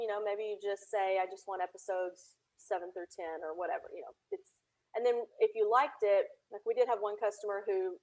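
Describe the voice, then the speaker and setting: A woman from Tennessee speaking as an interview guest, telling anecdotes in a chatty, conversational and animated way. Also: medium pitch, low-quality recording. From Tennessee, United States. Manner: chatty, animated anecdotes with laughter and reenacted dialogue. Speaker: interview guest, audio drama creator.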